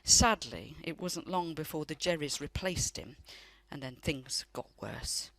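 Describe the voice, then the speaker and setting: A woman's voice: deep voice, lively intonation, unhurried, vocal fry, fairly bright tone, dry recording, exaggerated voice, low-quality recording. An actress in a radio play playing a loving, wistful elderly grandmother who tells family memories in a reflective way. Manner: reflective storytelling.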